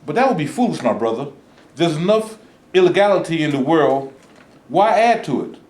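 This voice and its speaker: A man's voice: fairly high pitch, very animated, moderate pace, darkish tone. A man playing a cautious, principled protest movement leader in a staged reading, speaking formally and earnestly. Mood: firm, troubled. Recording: echoey room, live staged reading.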